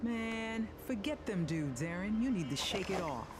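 A woman's voice: mid-range voice, lively intonation, measured pace, background noise, lightly acted. A woman voicing a brash, streetwise female ghost hunter, blunt, slangy and emphatic, in a video game cutscene. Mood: encouraging.

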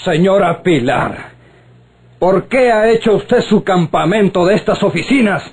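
An actor playing an imperious, short-tempered Mexican police colonel in a radio serial, with a husky voice. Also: male voice, sits high, animated, measured pace, darkish tone, full character voice. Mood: angry. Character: irascible, imperious male secret police colonel. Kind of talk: old radionovela acting.

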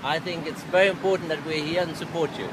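German-accented male fan, slightly high voice, polite, hesitant, off-mic amid street noise. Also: fairly even intonation, quick pace, fairly bright tone, low-quality recording. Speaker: fan at the event. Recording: off-mic outdoors with traffic noise.

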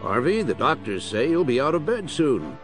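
An actor playing a kindly police commissioner, reassuring and a little hesitant, in a superhero audio drama. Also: male voice, mid-range voice, very animated, moderate pace, over music, lightly acted. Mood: consoling. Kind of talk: full-cast superhero audio drama acting.

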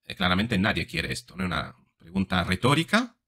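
A man's voice: deep voice, sing-song, brisk, sharp tone. A man in his forties giving explanatory, analytical commentary as a psychologist, often checking in with short tag questions, close on a desk microphone. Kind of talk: analytical commentary on body language. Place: small room indoors. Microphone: desk microphone close in front.

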